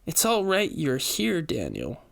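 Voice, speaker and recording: An elegant society hostess, as a male narrator puts her on, speaks in a lifted, genteel voice. She is formal and measured, a seductive woman of wealth in a dramatic audio story. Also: on the high side, wildly swooping pitch, creaky, fairly bright tone, voice pushed up, full character voice. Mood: sultry, coy.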